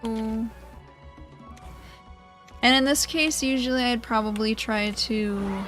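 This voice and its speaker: A woman's voice: a little high, takes it slowly, fairly bright tone. A woman giving casual gameplay commentary, muttering self-deprecating reactions as she plays. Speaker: gaming video creator. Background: video game music.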